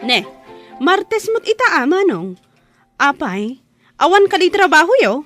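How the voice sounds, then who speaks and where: A woman's voice: extremely high pitch, sing-song, a little slow, a bit bright, soft background music, full character voice. A woman acting in an audio drama as a nagging Filipino village woman who chides a drinker. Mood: chiding. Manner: chiding.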